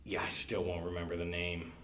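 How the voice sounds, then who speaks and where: A man's voice: low voice, completely flat delivery, brisk, bright, forceful tone. A man who is a podcast guest, hesitant and searching for answers, laughing at himself. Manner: hesitant, searching for words, self-deprecating laughs. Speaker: podcast guest, library staff. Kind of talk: casual quiz chat. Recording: roomy echo with faint background hiss.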